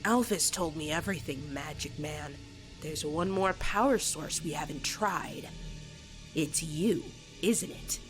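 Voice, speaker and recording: A woman voicing a grave monster in a solemn, deliberate, dramatic delivery for a comic dub. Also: low voice, low-quality recording. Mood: cold resolve. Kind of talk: dramatic scripted acting. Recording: background music under the voice.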